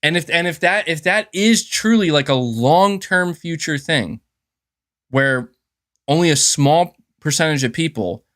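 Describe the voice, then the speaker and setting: A man's voice: medium pitch, a bit bright. A man hosting a livestream in casual, chatty commentary, who often chuckles and says "dude" and "man". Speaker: livestream host.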